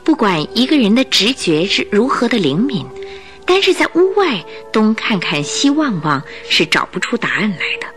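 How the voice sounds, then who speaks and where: A woman narrating a radio drama in Chinese, in an expressive, scripted storytelling style. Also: a little high, normal speed, faint music behind.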